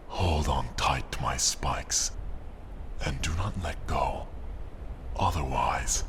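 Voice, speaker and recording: Casting: arrogant dragon for fantasy audio roleplay; rock-bottom gravelly bass, formal threatening delivery, some background noise. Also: male voice. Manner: haughty, formal, deliberate, threatening. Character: arrogant, menacing, possessive male dragon, last of his kind. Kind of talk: ASMR fantasy roleplay, slow theatrical monologue.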